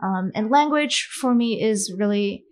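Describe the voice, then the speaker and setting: A woman whose first language is not English, answering a panel question in a thoughtful, measured way with many fillers. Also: medium pitch, darkish tone. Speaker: author on a panel.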